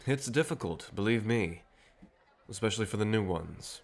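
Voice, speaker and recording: Man acting as vampire rebel leader, low voice, courteous, theatrical, self-assured, persuasive, audio drama. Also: animated, normal speed, creaky. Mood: earnest, proud.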